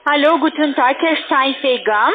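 High-voiced woman on a tinny phone line. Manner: non-native, halting German phrasing. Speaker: call centre agent. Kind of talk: scripted sales phone call.

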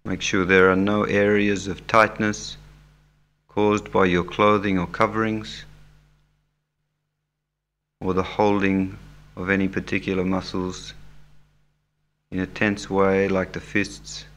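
A man leading a guided meditation as an instructor, speaking in measured, soothing short phrases with long pauses. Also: low voice, little pitch movement, fairly bright tone. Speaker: yoga nidra meditation instructor. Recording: background music under the voice. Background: music.

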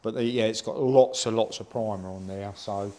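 A man in casual chat as a co-host and car body repairer, explaining things in a plain, halting way. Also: fairly low pitch, steady tone, mellow.

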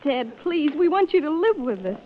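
An actress playing a devoted, emotional young daughter, sweet and earnest, pleading in 1930s radio melodrama style. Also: female voice, sits high, big pitch swings, moderate pace, slight background noise. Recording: muffled old radio broadcast.

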